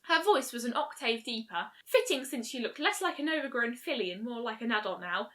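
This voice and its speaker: A woman's voice: fairly high pitch, animated, a little fast, pushed and bright. A woman reading a scripted story aloud as narrator. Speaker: fan fiction reader.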